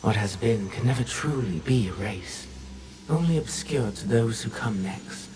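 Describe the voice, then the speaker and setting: An actor playing a mysterious male mentor who speaks slowly in cryptic riddles. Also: medium pitch, lively intonation, a little mellow, slight background noise, close to an everyday voice. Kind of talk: video game cutscene acting.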